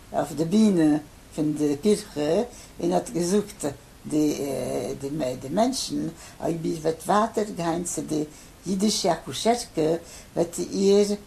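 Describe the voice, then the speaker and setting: An older man who grew up in Poland, his first language Polish, answering interview questions haltingly with many pauses and fillers. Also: a little high, vocal fry, mellow. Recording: faint background noise.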